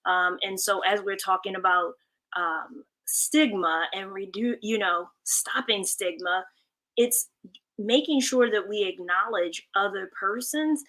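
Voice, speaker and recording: Bright, forceful woman speaking on a panel. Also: mid-range voice, slow pace, rough recording. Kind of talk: thoughtful panel commentary.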